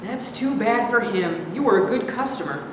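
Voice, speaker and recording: A noisy, strongly echoing hall carries an actress as a scornful woman in a stage play. She is blunt and sardonic, cutting down her target in a matter-of-fact, medium-pitched voice. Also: animated, normal speed, close to an everyday voice. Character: scornful, blunt woman mocking a man's self-deceptions. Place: live staged reading in a reverberant room. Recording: very echoey hall with clear background noise.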